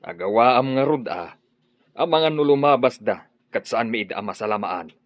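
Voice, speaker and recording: A man's voice: mid-range voice, expressive, moderate pace, no echo, lo-fi sound, natural voice. A man acting a self-assured henchman in an Ilocano radio drama, confident and defensive, with an Ilocano accent.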